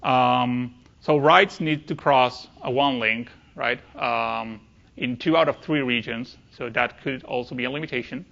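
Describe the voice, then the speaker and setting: A man's voice: mid-range voice, a little slow, gravelly, fairly bright tone, dull audio. A man presenting technical material at a conference, speaking hesitantly and often repeating words as he goes.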